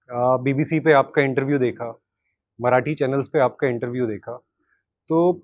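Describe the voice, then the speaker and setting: Higher-pitched male interview host. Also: dry recording. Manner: Hindi mixed with English words. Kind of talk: talk show interview.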